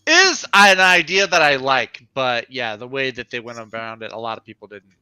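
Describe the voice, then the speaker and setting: A man on a livestream panel, chatting casually in an animated, conversational way. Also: mid-range voice, normal speed, clear, resonant tone, no echo, low-quality recording. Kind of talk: casual chat.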